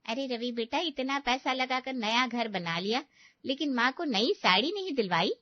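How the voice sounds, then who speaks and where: A woman's voice: fairly high pitch, animated, moderate pace, no room sound, dull audio, lightly acted. A woman playing an outspoken older family friend in a story, frank and moralizing. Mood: reproachful.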